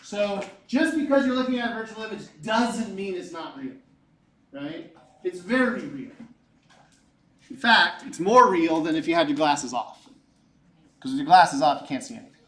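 A man's voice: high-pitched, moderate pace, long pauses. 40s guy teaching a class, chatty and jokey, mic kinda far, lots of room echo. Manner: lively, joking, repeats key points. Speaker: physics teacher. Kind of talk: classroom lecture.

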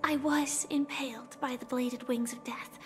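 A woman voicing a soft-spoken, regretful young woman in a game cutscene, talking quietly. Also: high-pitched, fairly even intonation, a little slow. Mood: earnest. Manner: quiet, hushed and earnest. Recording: reverberant game audio with music.

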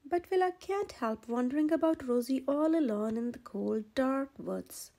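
Lady reading a kids storybook, high soft-toned voice, kinda mellow, takes her time. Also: animated, normal speed. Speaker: storyteller, channel host. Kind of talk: children's picture book read-aloud.

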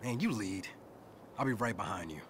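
An actor playing a jittery, wisecracking small-time criminal sidekick, excitable and exasperated, with an American accent. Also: male voice, on the low side, moderate pace, background noise, close to an everyday voice. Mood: nervous. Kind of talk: naturalistic video game acting.

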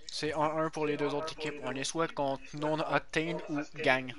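A man's voice: a little high, little pitch movement, normal speed, a crowd in the background. A man with a Québécois French accent, joking and swearing casually while playing an online game with friends. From Quebec. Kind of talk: casual gaming chat.

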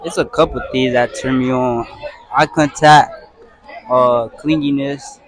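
A teenage boy answers slowly and casually, unsure of himself. Crowd chatter surrounds him. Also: mid-range voice, fairly even intonation.

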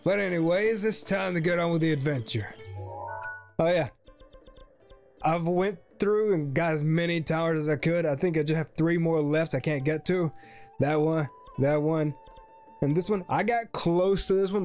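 A young man with a slightly high voice hosts a casual let's play. He rambles along in a jokey way, with game music playing behind him. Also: moderate pace, darkish tone. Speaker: gaming video host.

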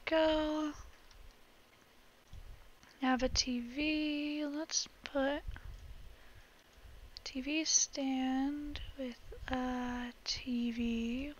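A woman's voice: high-pitched, steady tone, very slow, long pauses, lo-fi sound, slight background noise. A young woman casually chatting while she plays a building game, rambling with little laughs, her voice cracking now and then. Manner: chatty, rambling, giggly asides. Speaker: gaming vlogger.

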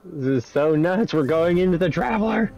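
A man's voice: on the high side, animated, moderate pace, darkish tone. A man chatting casually as a fellow gamer over voice chat, reacting to what is on screen. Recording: dull voice chat audio, music behind. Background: game cutscene music.

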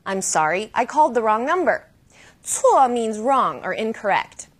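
A woman hosting a language lesson with scripted, didactic delivery, slowing down to model key phrases. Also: on the high side, sing-song. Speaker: host and language teacher. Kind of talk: scripted educational presenting.

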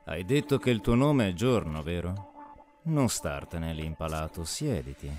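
A man's voice: low voice, big pitch swings, moderate pace, a little mellow, soft background music. A man voicing an intimidating gangster, coldly sarcastic and mock-polite, in an anime fan dub. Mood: mocking menace.

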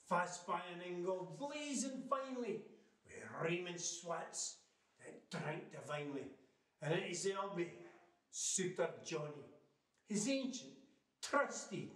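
Older Scottish male, high voice, dramatic verse recital, recorded at a distance in a roomy space. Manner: theatrical, emphatic, measured. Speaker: poetry reciter. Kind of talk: dramatic recitation of Scots narrative poetry. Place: living room with fireplace, indoors. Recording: roomy, distant microphone sound.

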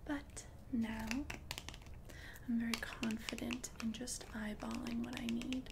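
A woman voicing an ancient, confident witch in a whispered ASMR roleplay, speaking in a soft, breathy, deliberate and soothing way. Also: on the high side, level tone, background noise. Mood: calm, confident. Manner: slow, deliberate, soothing, repeats words for emphasis. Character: ancient, confident witch brewing love potions.

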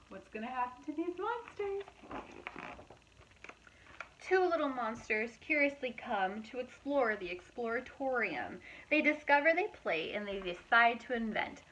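Lady teacher doing kids storytime, higher voice, takes it slow, really expressive, bit of background noise. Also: rough recording. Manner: animated, exaggerated gasps and asides to listeners. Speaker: preschool teacher reading storytime. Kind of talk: expressive picture-book read-aloud for young children.

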